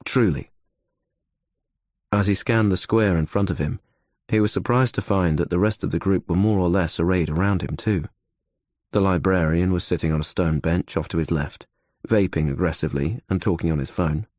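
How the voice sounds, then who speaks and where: A man's voice: low-pitched, brisk, darkish tone, dry recording, clean studio sound. A man narrating a suspense audiobook in the third person.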